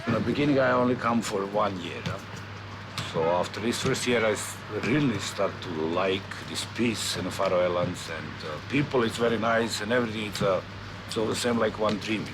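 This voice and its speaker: A middle-aged man from Serbia, a football coach, speaking halting non-native English in an interview. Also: on the low side, background noise. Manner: halting, broken English with restarts.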